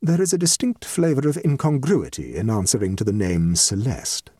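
A man voicing a shrewd, tactful society lady with a measured, dry and precise manner in a comic story. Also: lowish voice, warm tone, breathy edge, dry recording, voice pushed up. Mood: wry. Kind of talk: comic short story reading.

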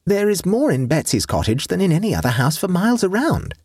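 A man's voice: high-pitched, sing-song, brisk, a little mellow, slightly rough, no room sound, clean studio sound, voice pushed up, full character voice. A man reading an audiobook and voicing a mischievous, fanciful sixteen-year-old girl in an airy, conspiratorial way. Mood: teasing.

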